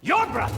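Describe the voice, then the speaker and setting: An actor playing a scheming male mercenary leader in a video game, with a slow, silky, mocking drawl. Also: bright, forceful tone. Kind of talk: video game cutscene acting. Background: game score music.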